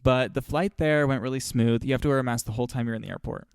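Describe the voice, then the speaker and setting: A man's voice: mid-range voice, quick pace, clear, resonant tone, no room sound, clean studio sound. A young man hosting a podcast, chatting casually and playfully while telling stories with his sister. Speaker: podcast host. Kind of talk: casual chat.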